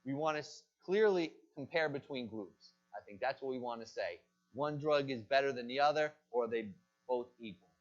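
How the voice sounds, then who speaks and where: A man's voice: mid-range voice, moderate pace. Man lecturing on a dull lo-fi recording. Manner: asks the class questions and answers them himself. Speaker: pharmacy college lecturer. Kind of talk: classroom lecture.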